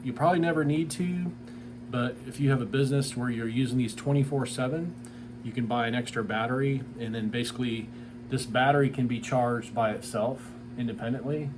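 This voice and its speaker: A man's voice: mid-range voice, a little fast. A man giving a casual, unscripted product review in a plain-spoken, informal way, like a small business owner. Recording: clear background ambient noise.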